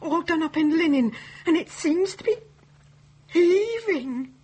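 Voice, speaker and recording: An actress playing a deferential, talkative housekeeper who startles easily, speaking colloquial British English in a radio drama. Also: female voice, extremely high pitch, lively intonation, a little slow, background noise. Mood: uneasy, insistent.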